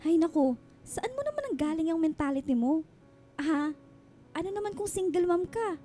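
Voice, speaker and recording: Radio drama matchmaker friend, Filipina in her thirties: high, mellow voice, gushing, slangy, bantering. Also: lively intonation, normal speed. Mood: pushy, encouraging. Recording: music bed under the dialogue.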